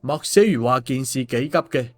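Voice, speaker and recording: A man's voice: medium pitch, expressive, moderate pace, a little mellow. A man narrating an audiobook in a colloquial storytelling style. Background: background music.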